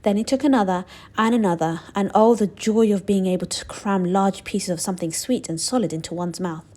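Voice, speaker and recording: A woman narrating a children's book aloud in an expressive, deliberate storytelling style. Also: medium pitch.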